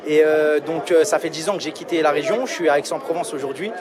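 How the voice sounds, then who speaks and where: A football fan from Seine-Saint-Denis talks to a reporter outside a stadium, proud and emphatic. His voice sits fairly high for a man, and a clear crowd surrounds him. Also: quick pace. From Seine-Saint-Denis, France. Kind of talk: interviewed in the street.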